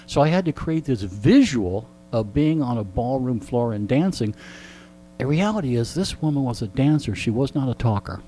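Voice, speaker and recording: A man telling jokey anecdotes in conversation as a radio interviewee, with deadpan punchlines and repeated phrases for effect. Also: mid-range voice, normal speed, faint music behind. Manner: jokey, deadpan storytelling with rhetorical repetition. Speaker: radio host being interviewed. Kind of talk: animated comic anecdotes in conversation.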